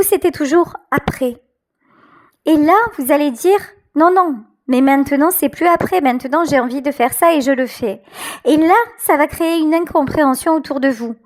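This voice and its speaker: A woman giving an intuitive tarot reading to her viewers, often pausing and searching for her words. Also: sits high. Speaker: tarot reader, channel host.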